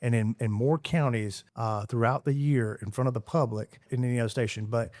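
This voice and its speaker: A man who works as a radio DJ, telling stories with animated pride in a casual interview. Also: medium pitch, brisk, no room sound, clean studio sound. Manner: animated, bragging storyteller.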